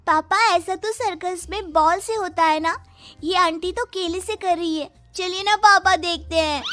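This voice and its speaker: A woman voicing a stubborn, excitable little girl who pleads whinily in a children's story. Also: very high voice, measured pace, voice pushed up. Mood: curious, pleading. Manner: whiny pleading.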